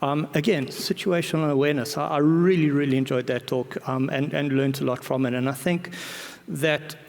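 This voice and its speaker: A man's voice: a little high, steady tone, moderate pace, creaky, darkish tone. A man speaking informally as a conference presenter, colloquial and self-deprecating, often repeating words and backtracking as he goes. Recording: reverberant hall recording.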